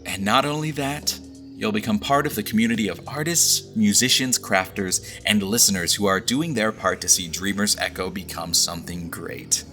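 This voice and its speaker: A man's voice: on the low side, normal speed, pushed and bright, soft background music. A man speaking as a podcast's creator and host, giving a scripted message to his listeners.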